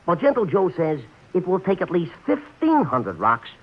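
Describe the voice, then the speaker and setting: An actor playing a small-time racetrack bookie who talks in florid, overly formal phrases mixed with track slang, in a 1940s radio play. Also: male voice, sits high, wildly swooping pitch, normal speed, full character voice. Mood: pompous. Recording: muffled vintage radio broadcast.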